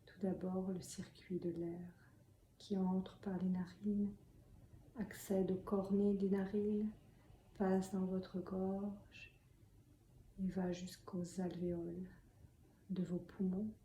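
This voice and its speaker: A woman leads a guided meditation in a very mellow, soft and breathy voice, often close to whispering. She speaks slowly and calmly, leaving long pauses between gentle instructions. The room adds noticeable echo. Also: medium pitch. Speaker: meditation and yoga teacher. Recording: roomy echo, faint background noise.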